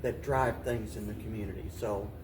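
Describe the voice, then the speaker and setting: An American man answering interview questions in a deliberate, halting way, often restating his phrases as he goes. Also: fairly low pitch, fairly even intonation, crowd noise. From Paducah, Kentucky, United States. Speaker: mayor and interview guest.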